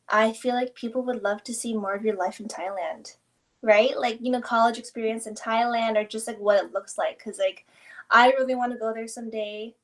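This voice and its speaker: Chatty woman hosting an interview. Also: fairly high pitch. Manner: enthusiastic, affirming, chatty. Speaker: interview host. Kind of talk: casual interview chat.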